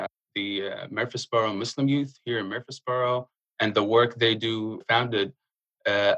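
A young man from Jordan, speaking earnestly in a casual interview and pausing often with fillers. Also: fairly low pitch, sharp tone, muffled recording. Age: young adult. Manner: earnest, halting with frequent uh fillers. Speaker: guest.

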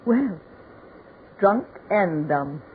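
An American actress playing an impatient, highly strung woman in a 1940s radio horror play. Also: lively intonation, drawn-out words, narrow, tinny audio. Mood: scornful impatience. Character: impatient, scornful woman turning fearful. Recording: noisy band-limited 1940s radio recording.

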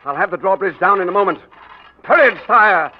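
An actor playing a suave, gallant romantic hero in a 1940s radio drama. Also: male voice, sits high, animated, fairly quick. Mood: heroic. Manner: suave, tender, heroic. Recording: dull lo-fi 1940s radio broadcast.

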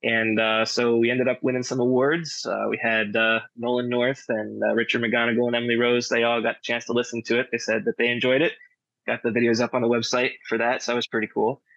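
A man's voice: mid-range voice, level tone, a little fast, creaky, fairly bright tone, no room sound. A man chatting casually in an interview as a director, modest and understated, on a dull lo-fi recording. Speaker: audio drama director and sound designer. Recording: dry, dull lo-fi sound.

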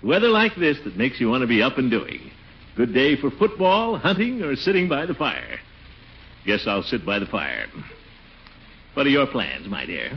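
Blustering uncle, wildly swooping voice. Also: male voice, medium pitch, very animated, normal speed. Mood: jovial. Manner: pompous, exclamatory, chuckling. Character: blustering middle-aged uncle, pompous, nosy, chuckling. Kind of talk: 1940s radio comedy acting. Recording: dull, noisy 1940s radio recording.

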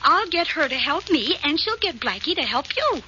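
An anxious, scatterbrained sweetheart in 1940s radio style. Her voice is on the high side and very animated. The old recording is muffled and noisy. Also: normal speed. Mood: anxious, pleading. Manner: flustered, solicitous.

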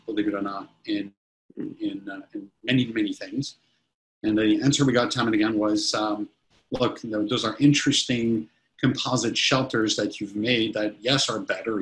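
Man in his 50s, CEO guest, a touch low-pitched, talks in loose, restarting sentences. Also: fairly bright tone, slight background noise, low-quality recording. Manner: rambling, many restarts and fillers. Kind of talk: casual interview answers.

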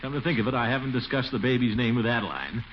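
An actor playing a pompous, blustery, easily exasperated middle-aged town commissioner in a 1940s radio comedy, on a muffled old recording. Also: male voice, medium pitch, animated, fast, slight background noise, natural voice. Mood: flustered, musing. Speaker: lead comic character.

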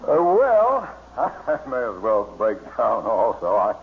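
Slow, pompous gentleman, noisy old radio. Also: medium pitch, huge pitch swings, full character voice. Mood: bashful confessing. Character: pompous, genteel man, a soft-hearted hunting pal. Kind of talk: 1940s radio comedy acting. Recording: muffled, noisy vintage radio recording.

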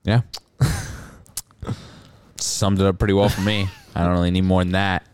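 A man's voice: sits low, level tone, measured pace, a little mellow, clear, resonant tone, no echo. A young man co-hosting a podcast, chatting casually in a rambling, self-deprecating, joking way. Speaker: podcast co-host. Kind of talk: casual comedic chat.

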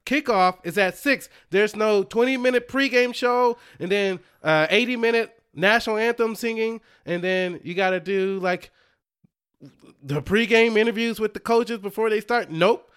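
Higher-voiced man in casual sports chat. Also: fairly bright tone, clear, resonant tone. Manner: laughs often, quick restarts. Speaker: podcast co-host.

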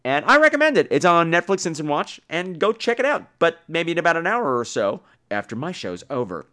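A man's voice: fairly high pitch, big pitch swings, fairly quick, sharp tone. A man hosting a show, talking casually and rambling through reviews with theatrical emphasis. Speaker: podcast host. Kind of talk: casual solo review monologue.

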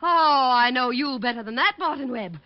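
An actress playing a high-strung, scheming stage-star wife with a flustered, melodramatic delivery in old radio drama style. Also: female voice, lively intonation, moderate pace, lo-fi sound. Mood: flustered, indignant. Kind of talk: 1940s-50s radio drama acting.

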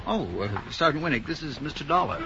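A man's voice: fairly high pitch, sing-song, a little fast, crowd noise. A man playing a matter-of-fact police captain in a 1950s radio drama. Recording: dull, noisy 1950s radio recording.

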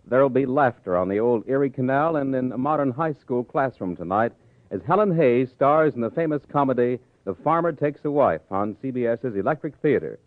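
A man's voice: mid-range voice, no room sound. A man announcing in the scripted style of 1950s network radio. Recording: tinny vintage radio broadcast.

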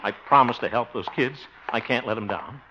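A man's voice: mid-range voice, fairly quick, lightly acted. An actor playing a genial, bumbling middle-aged man who flusters easily, chuckling and hesitating in a 1950s radio comedy. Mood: musing, resigned. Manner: chuckling, blustery, hesitant, exclaiming. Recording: noisy, crackly, muffled 1950s radio recording.

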